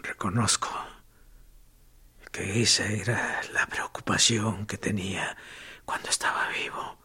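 An actor playing a tortured male prisoner in a radio drama, speaking in a hoarse whisper with morbid sarcasm. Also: on the low side, little pitch movement, measured pace, sharp tone, exaggerated voice. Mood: eerie calm.

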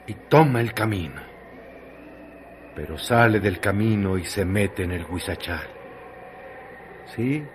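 Slow, low-voiced male radio narrator. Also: a little hiss or hum. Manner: slow, measured and intense. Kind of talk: dramatic radio novel narration. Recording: narration over loud music.

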